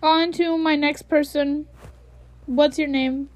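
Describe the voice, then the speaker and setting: High-voiced young woman interviewer. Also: steady tone, takes it slowly, a little mellow. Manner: playful, teasing questions. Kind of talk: casual home interview.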